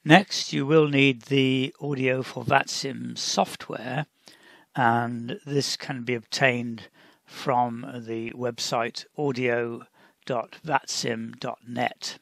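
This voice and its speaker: A man narrating a step-by-step software tutorial in a deliberate, instructional way, often pausing mid-sentence. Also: medium pitch, steady tone, creaky, no echo. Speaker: tutorial presenter.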